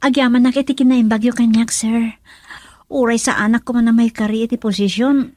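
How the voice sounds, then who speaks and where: An actress playing a polite, modest office employee in an Ilocano radio drama. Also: female voice, on the high side, steady tone, a little fast, darkish tone, dry recording, studio quality, natural voice. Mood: polite, grateful.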